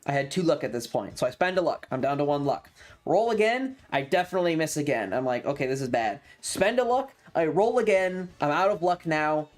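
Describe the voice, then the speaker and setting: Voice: mid-range voice, animated, fairly quick. A streamer telling a tabletop game story to a live chat in a casual, sweary, emphatic way, repeating phrases for drama.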